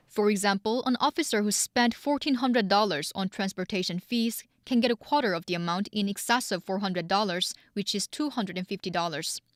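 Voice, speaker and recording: A woman working as a television reporter, narrating a scripted news item. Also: medium pitch.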